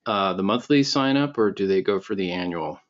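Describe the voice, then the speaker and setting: Low-voiced man hosting a podcast. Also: brisk, lo-fi sound. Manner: relaxed, with fillers and repeated starts. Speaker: podcast host. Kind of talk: casual interview questions.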